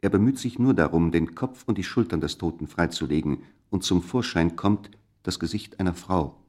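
A male narrator of a scripted radio play with a deep voice. He tells the story in a measured, suspenseful way. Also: darkish tone.

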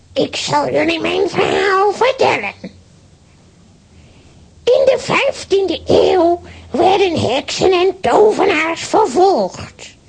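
A very high-voiced, ancient witch, gloats and brags spitefully. She draws her words out slowly and swoops theatrically, as in a children's radio play. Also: vocal fry, full character voice. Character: boastful, spiteful centuries-old witch in a children's story.

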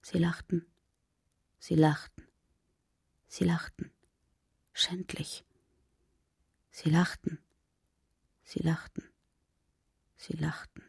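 A woman narrating poetry for a radio drama in a subdued, grave, unhurried manner. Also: deep voice, level tone, gravelly, a little mellow, husky, no room sound, in a whisper, clean studio sound, full character voice. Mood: whispering. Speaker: reader in a radio adaptation of a poem cycle.

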